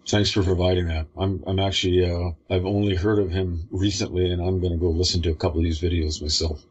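A man's voice: low voice, little pitch movement, quick pace, a little mellow, husky, lo-fi sound. A male radio host losing his voice, straining as he talks, friendly and upbeat with his guest. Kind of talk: casual radio interview.

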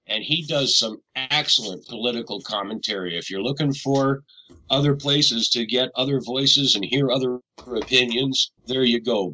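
This is a man from Canada chatting as a guest on a talk radio show. He rambles in a loose, conversational way and keeps correcting himself. His voice is very bright and forceful, at a middling pitch. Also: highs cut off. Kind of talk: casual radio interview.

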